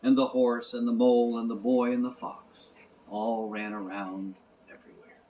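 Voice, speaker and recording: A man reading a storybook as narrator, in a gentle, measured way. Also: medium pitch, little pitch movement, highs cut off, a little hiss or hum. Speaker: audiobook narrator.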